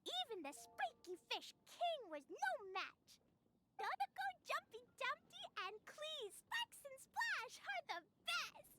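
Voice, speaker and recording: A woman voicing an excitable, gleeful little girl game character with a squeaky, childlike voice. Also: slow, very noisy, heavily acted. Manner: gleeful, exclamatory. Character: excitable little girl and her tiny fairy companion. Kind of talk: video game character acting.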